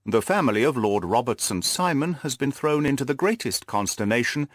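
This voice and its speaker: An earnest, orderly gentleman companion from an audiobook, played by a male reader, speaking with measured care. His mid-pitched voice moves expressively, recorded dry. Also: lively intonation, no echo, close to an everyday voice. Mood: measured, informative. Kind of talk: audiobook character voice.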